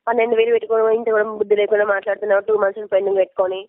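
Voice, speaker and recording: A woman's voice: medium pitch, little pitch movement, racing, dry recording. A woman working as a loan recovery agent on a phone call, scolding and pressing insistently in a confrontational way. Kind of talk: debt collection phone call. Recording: muffled, narrow-band telephone line recording.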